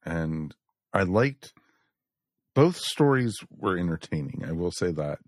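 A man's voice: sits low, very animated, slow, dry recording, clean studio sound. A man chatting casually as a panelist on a review podcast, giving his opinions in everyday talk. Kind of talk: casual chat.

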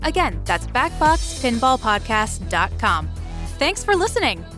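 A woman reading a scripted podcast outro in a bright, lively way. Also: on the high side, a little slow. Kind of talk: scripted announcement. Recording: read over background music.

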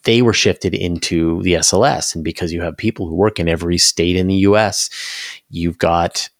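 A man's voice: low-pitched, normal speed, no room sound, studio quality. A man talking conversationally as an interview guest, explaining things at length and restarting phrases as he reasons aloud. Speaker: interview guest, space news commentator.

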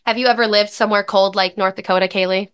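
A woman in her thirties hosting a podcast in a casual, upbeat way, her voice a little raspy from recovering from a lost voice. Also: mid-range voice, steady tone, fast talker, fairly bright tone. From American Midwest. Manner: upbeat, chatty. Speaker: podcast host and dietitian.

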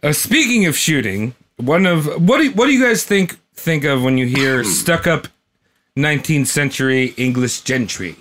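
A man hosting a casual comedy podcast, telling a history story in a lively way and laughing often. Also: mid-range voice, normal speed, hardly any pauses, a little hiss or hum. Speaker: podcast host.

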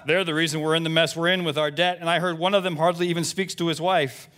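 Male performer as elderly busybody church lady, self-righteous, indignant, gossipy, blunt, comic letter reading, somewhat high pitch. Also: level tone, fast, a bit bright, slight background noise, natural voice. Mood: aggrieved, gossipy. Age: seventies. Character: nosy, self-righteous Southern church lady in her seventies. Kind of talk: comic reading of emails aloud.

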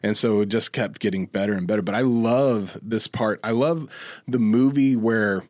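A man's voice: fairly low pitch, normal speed, darkish tone, dry recording, clean studio sound. A man co-hosting a casual podcast, talking enthusiastically in a rambling way and often repeating himself. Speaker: podcast co-host. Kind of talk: casual podcast chat about movies.

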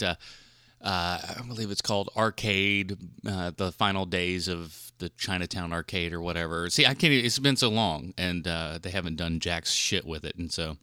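A man's voice: low-pitched, bright, forceful tone, no echo, clean studio sound. A man hosting a film podcast solo in a casual, rambling, informal and mildly profane way. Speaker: podcast host reviewing films.

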